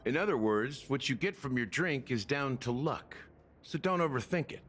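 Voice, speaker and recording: A man playing a courteous, wry older bartender in a video game, measured and gentlemanly. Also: medium pitch, expressive, faint music behind. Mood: wry, instructive. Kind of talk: video game character acting.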